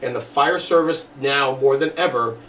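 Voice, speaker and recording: A man's voice: medium pitch, normal speed, sharp tone. A man hosting a casual studio podcast, speaking in an earnest, emphatic way. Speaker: podcast host. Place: studio room. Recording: roomy, distant mic, faint background noise.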